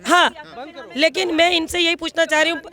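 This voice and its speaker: A woman's voice: extremely high pitch, steady tone, brisk, pushed and bright. A woman arguing insistently and with passion in a heated street debate. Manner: impassioned, argumentative, insistent. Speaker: member of the public debating.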